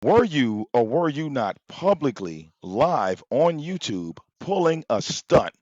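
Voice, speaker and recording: A man hosting a live stream, delivering a loud, profane, confrontational rant full of rhetorical questions. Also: mid-range voice, very animated, slow, dry recording. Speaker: livestream host. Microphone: popping mic. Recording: dull, slightly lo-fi, dry sound.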